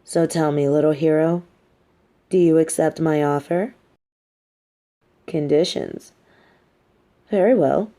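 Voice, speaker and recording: A woman's voice: deep voice, slow pace, long pauses, warm tone. A woman playing a smug, sardonic but sympathetic female supervillain in an audio roleplay, speaking articulately. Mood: smug, negotiating. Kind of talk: dramatic audio roleplay monologue.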